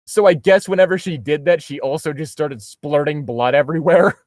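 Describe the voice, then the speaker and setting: A man with a fairly high voice speaks in a very dry, close recording. He reads prose aloud and slips into casual, sarcastic commentary, swearing freely as he goes. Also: brisk, a little mellow, no room sound. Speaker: co-host reading a fan fiction aloud and mocking it. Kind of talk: casual comedic commentary between read-aloud passages.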